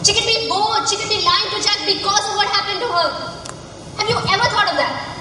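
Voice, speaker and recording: A woman's voice: very high voice, brisk, sharp tone. A woman acting on stage as a passionate, challenging woman, recorded from afar in an echoing hall. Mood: accusing. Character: passionate, challenging woman confronting a male colleague. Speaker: stage actor. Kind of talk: student stage drama acting. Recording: echoey hall, far mic, noisy background.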